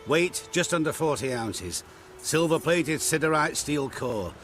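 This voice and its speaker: A man's voice: on the high side, expressive, unhurried, a bit bright, soft background music. A man playing a proud, seasoned middle-aged swordsmith in a video game, speaking in a precise, businesslike way. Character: proud seasoned master swordsmith, plain-spoken tradesman. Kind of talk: video game cutscene acting.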